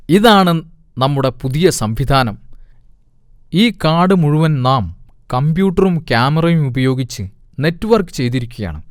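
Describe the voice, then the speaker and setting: A man's voice: fairly high pitch, smooth, no room sound, studio quality. A man voicing a pompous, scheming cat king in a cartoon, with a commanding, self-important manner. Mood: boastful. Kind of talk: cartoon voice acting.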